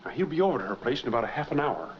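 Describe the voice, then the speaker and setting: An actor playing an earnest, framed truck driver in a 1940s American film noir, speaking in a plain-spoken way. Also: male voice, mid-range voice, sing-song, racing, a little hiss or hum, natural voice. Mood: urgent, confident. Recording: dull 1940s film soundtrack, faint music.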